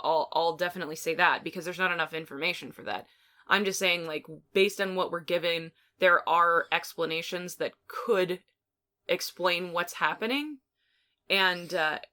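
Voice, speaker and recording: A woman's voice: lowish voice, sharp tone. A woman co-hosting a podcast, chatting casually as she reviews films. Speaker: podcast co-host. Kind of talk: casual film review chat.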